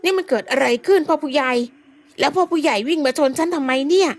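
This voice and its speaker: A rough, cheeky male villager who swears freely and teases with a fawning edge, put on by a woman narrator. The voice is pitched high and brash, as in a told ghost story. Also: moderate pace. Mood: teasing fawning.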